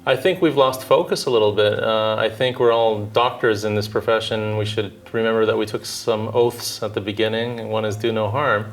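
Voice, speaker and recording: A man in his thirties from Southern California, a dentist answering interview questions in a measured, thoughtful way with frequent filler pauses. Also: fairly low pitch, fairly even intonation, a little hiss or hum. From Southern California, United States. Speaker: dentist and orthodontist guest.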